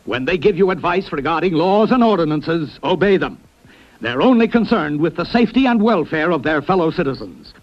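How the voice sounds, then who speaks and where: A man's voice: on the high side, expressive. Earnest man announcing, lo-fi sound. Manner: earnest, measured announcer delivery. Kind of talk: scripted public-service announcement. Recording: dull lo-fi 1960s TV audio.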